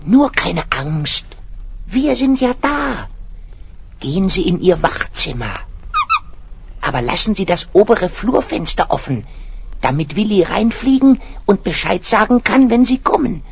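Ein Mann spielt in einem Kinderhörspiel ein Zeichentricktier, das bestimmend und beruhigend die Wache anführt. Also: male voice, high voice, expressive, measured pace, vocal fry, a little hiss or hum, full character voice. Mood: reassuring, conspiratorial. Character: calm reassuring cartoon animal leading a guard team. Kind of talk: children's audio play acting.

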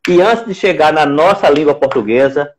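A man's voice: on the high side, expressive, moderate pace. Didactic Recife man hosting a live stream. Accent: Recife, northeastern Brazilian. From Pernambuco, Brazil. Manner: didactic, repeats phrases for emphasis. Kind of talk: conversational interviewing on a live stream. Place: indoor room at home. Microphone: earphone mic on a video call.

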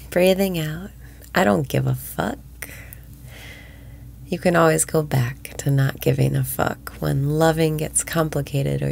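A woman leading a guided breathing meditation in a slow, soothing voice that often drops to a whisper. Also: low voice, vocal fry, a little mellow.

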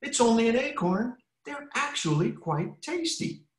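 A man doing a gentle, oversized baby chick. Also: very high-pitched, big pitch swings, measured pace, roomy sound, pitched above the performer's own voice, full character voice.

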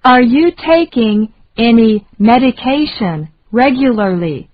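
A woman reading short phrases slowly and deliberately for learners to repeat. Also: on the high side, animated, dry recording, lo-fi sound. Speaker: English lesson reader.

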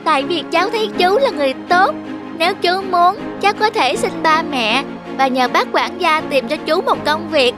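An earnest, childlike little boy played by a woman in a cartoon. He sounds sweet and polite over clearly audible music. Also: extremely high pitch, moderate pace. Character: sweet, lonely, generous little rich boy. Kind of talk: cartoon voice acting. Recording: music behind the voice.

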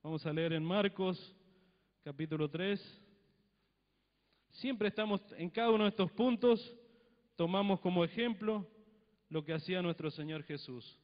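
Argentine preacher with a Cuyo accent. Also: male voice, sits high, a little slow, pauses often. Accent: Cuyo Argentine Spanish. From Mendoza, Argentina. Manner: conversational preaching, tag questions, acts out little dialogues. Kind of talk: sermon. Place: church hall with congregation. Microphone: handheld mic. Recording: dull lo-fi sound, long hall reverb.

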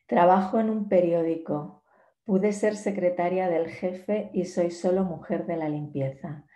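A woman in her forties reads poetry aloud, slowly and with care, in a fairly low, mellow voice. The sound comes from a laptop on a video call and has the roominess of a small bare room. Her delivery is measured and unhurried. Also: fairly even intonation. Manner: slow, measured poetry reading. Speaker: poet giving a talk. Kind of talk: reading poems aloud in a talk. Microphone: laptop webcam on a video call. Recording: echoey room.

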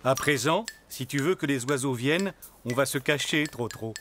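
A man voicing a gentle, patient father donkey in a cartoon, talking softly and hushing his son. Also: mid-range voice, normal speed, lightly acted. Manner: soft, hushed, patient, shushing. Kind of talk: cartoon voice acting. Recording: music bed under dialogue.

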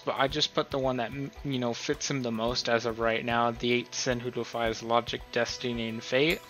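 A man's voice: medium pitch, bright, forceful tone. A man hosting a casual screen-recorded tutorial, explaining things in an informal, rambling way. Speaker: host of a role-play tutorial.

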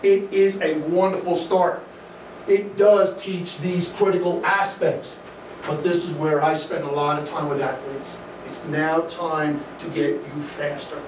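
Midwestern male coach, middle-aged, upbeat lecture, slightly high voice, recorded from the back of a reverberant room. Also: a little slow, recorded from a distance. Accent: Midwestern American. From American Midwest. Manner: energetic, gesturing, conversational with fillers. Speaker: swim coach giving a talk. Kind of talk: live presentation to an audience. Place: dim indoor event room, in front of a projection screen. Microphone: room camera from the audience, no mic seen. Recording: echoey room, voice from afar, faint noise.

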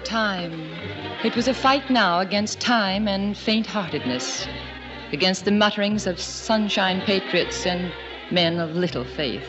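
A woman's voice: mid-range voice, unhurried, natural voice. A woman giving solemn, dramatic scripted narration for an old-time historical radio drama. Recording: dull vintage radio with loud music.

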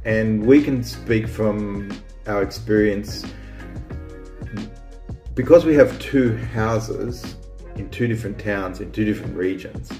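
A man's voice: on the low side, slow, darkish tone, roomy sound, soft background music. A man who grew up in Australia, hosting a casual chat about small-town life, rambling with lots of restarts and ums. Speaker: vlog host.

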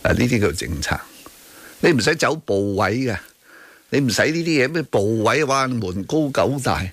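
A man's voice: medium pitch, sing-song, normal speed, fairly bright tone, no room sound. A man with a Hong Kong Cantonese accent hosting radio talk, arguing emphatically about current affairs with rhetorical tag questions and bits of English. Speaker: radio talk show host. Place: radio studio.

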